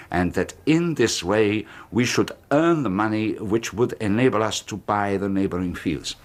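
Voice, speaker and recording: A menacing secretive colonel. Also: male voice, fairly low pitch. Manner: smooth, insistent, quietly threatening. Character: sinister secretive colonel, middle-aged man, menacing. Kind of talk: 1980s radio drama acting.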